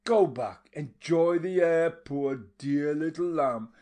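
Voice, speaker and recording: A man reading a novel aloud, voicing a coarse, half-witted young woman who mocks her companion in a rough voice. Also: fairly high pitch, expressive, slow pace, a little mellow, voice pushed up. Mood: mocking. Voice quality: rough, jeering.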